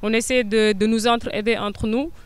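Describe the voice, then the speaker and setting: A young woman with a Senegalese accent answers questions earnestly, pausing often and filling gaps with hesitations. Her voice is very smooth and clear, and her pitch stays fairly even. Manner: hesitant, many filler sounds, earnest. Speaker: guest. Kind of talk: interview answers.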